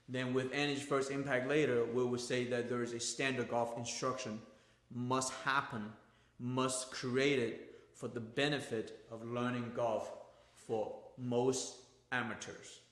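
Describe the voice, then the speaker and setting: A man's voice: mid-range voice, little pitch movement, unhurried, fairly bright tone, echoey room. A male golf instructor, not a native English speaker, giving an emphatic, repetitive livestream talk in plain, non-native grammar.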